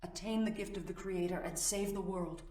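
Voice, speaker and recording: A woman's voice: fairly low pitch, fairly even intonation, fairly quick, warm tone, reverberant, distant mic, close to an everyday voice. A woman voicing a grand, earnest heroine on a quest in a fantasy video game. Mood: grand, urgent. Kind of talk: video game voice-over session.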